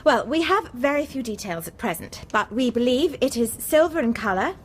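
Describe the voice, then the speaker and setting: An earnest technical expert played by a woman briefing in a wartime radio drama. Her high voice is halting and polite, with a faint noise behind it. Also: lively intonation, lightly acted. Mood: nervous, polite.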